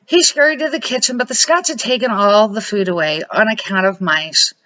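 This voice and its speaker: Casting: grandmotherly woman, middle-aged or older, bright voice, deliberate expressive storybook read, dull home recording. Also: mid-range voice. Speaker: grandmother reading to children, series host. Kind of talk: read-aloud children's storybook narration.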